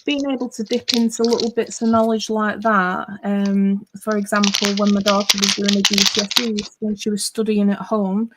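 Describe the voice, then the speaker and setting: A woman's voice: medium pitch, little pitch movement, moderate pace, few pauses, heavy background noise. A middle-aged woman with a northwest English accent, chatting casually on a livestream and rambling with lots of fillers. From northwest England. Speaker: livestream host. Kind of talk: casual chat.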